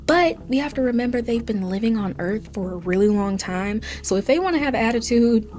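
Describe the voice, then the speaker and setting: A woman's voice: fairly high pitch, lively intonation, a little fast, a little mellow. A woman, giving casual, candid, conversational commentary. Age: 20s. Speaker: video commentary host.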